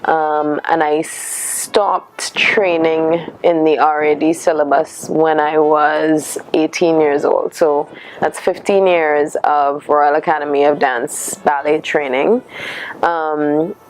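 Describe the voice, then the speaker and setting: A Trinidadian woman in her thirties, a dancer answering interview questions in a thoughtful way, often pausing with fillers. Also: low voice, level tone, a little hiss or hum, low-quality recording. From Trinidad and Tobago. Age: 30s. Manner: thoughtful, lists things with many fillers.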